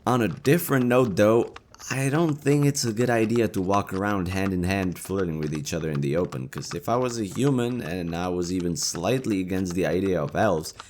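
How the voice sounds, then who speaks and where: A young elven leader voiced by a man with a low-pitched voice, speaking as an affectionate boyfriend in an intimate audio roleplay. He is casual and teasing, yet idealistic at heart. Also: a little mellow, slight background noise. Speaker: voice actor in romantic audio roleplay.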